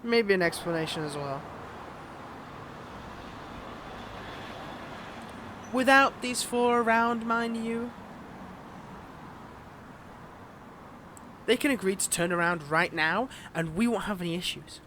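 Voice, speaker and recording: Over noticeable outdoor-like background noise comes a man acting a high-pitched male villain in a romance audio drama. He is smug and possessive toward a former lover, mixing theatrical flirting with veiled, taunting threats. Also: animated, moderate pace, sharp tone. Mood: pleading, indignant.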